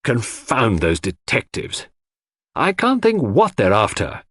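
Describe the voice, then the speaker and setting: This is a narrator acting a blustering gentleman in a classic mystery audiobook. He is indignant and old-fashioned, with a husky voice that rises and falls widely. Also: mid-range voice, wildly swooping pitch, takes it slowly, dry recording, clean studio sound, heavily acted. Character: good-natured country gentleman, blustering when annoyed.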